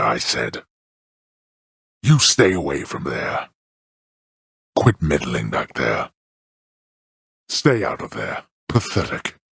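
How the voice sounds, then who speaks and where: Stern old underworld god-king, extremely low pitch, husky rasp, pompous archaic phrasing, scornful, video game character. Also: a bit bright, no room sound, clean studio sound, exaggerated voice. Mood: stern, forbidding. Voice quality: very deep, gravelly, husky. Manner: pompous, archaic, disdainful, deliberate diction. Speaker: voice actor. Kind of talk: theatrical scripted video game character lines.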